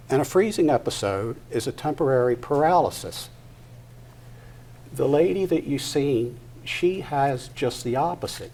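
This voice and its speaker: An older man giving a personal testimony to an audience at a live event, halting and deliberate, pausing mid-sentence. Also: medium pitch, darkish tone. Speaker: guest speaker at a patient discussion event. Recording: noticeable background noise, live event.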